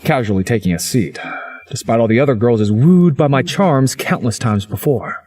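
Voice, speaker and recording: A man's voice: medium pitch, expressive, normal speed, darkish tone, faint music behind, lightly acted. A man playing a cocky, sceptical young man who narrates a visual novel game with dramatic flair. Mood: self-assured narrating.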